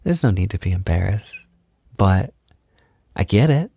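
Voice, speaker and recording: A man playing a gentle, affectionate boyfriend in an intimate roleplay audio, speaking softly and soothingly, with unhurried reassurance. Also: fairly low pitch, lively intonation, creaky, breathy edge, dry recording, exaggerated voice. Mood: encouraging, reassuring. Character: gentle, affectionate boyfriend comforting his insecure partner. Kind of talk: intimate roleplay audio, soft-spoken ASMR.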